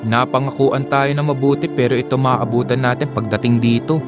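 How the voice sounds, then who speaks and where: A man's voice: mid-range voice, steady tone, brisk, natural voice. A man playing a disillusioned friend and neighbour in an audio drama, resigned and conversational, with music behind him. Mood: resigned, frustrated. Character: weary, disillusioned friend, jobless neighbour in resettlement housing. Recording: music under the voice.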